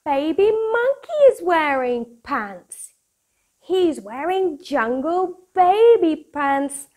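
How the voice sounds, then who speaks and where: A British woman in her thirties presenting for young children in a playful, expressive, sing-song manner, as if hosting a picture-book club. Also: high voice, drawn-out words. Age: 30s. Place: small room at home, indoors. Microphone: lapel mic.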